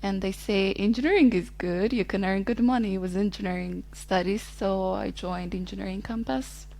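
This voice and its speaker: A woman's voice: medium pitch, steady tone, moderate pace, gravelly, warm tone. Easygoing woman in her twenties. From Ethiopia. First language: Amharic. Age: 20s. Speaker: podcast guest. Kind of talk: casual interview chat.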